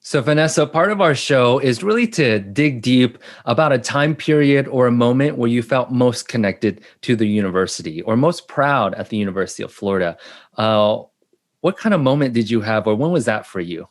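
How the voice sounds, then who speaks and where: A man's voice: medium pitch, lively intonation, normal speed. A man hosting an alumni podcast, interviewing a guest in casual chat over a video call and laughing easily. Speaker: podcast host.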